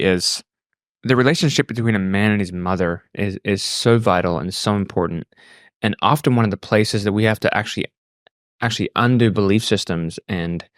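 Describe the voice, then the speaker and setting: A man in his thirties with an Australian accent, telling his personal story reflectively and haltingly, repeating words as he thinks. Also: fairly low pitch, dry recording, studio quality. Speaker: podcast guest.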